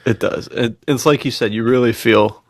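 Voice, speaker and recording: A man's voice: mid-range voice, moderate pace, no room sound, clean studio sound. A man co-hosting a podcast, telling stories in an enthusiastic, slangy, laid-back casual chat. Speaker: podcast co-host.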